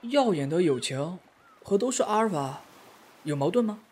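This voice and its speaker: A woman's voice: lowish voice, animated, moderate pace, darkish tone, slightly rough. A woman playing an earnest, deferential young soldier in an audio drama. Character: earnest, loyal young low-ranking soldier. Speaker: voice actor. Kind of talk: modern Chinese audio drama acting.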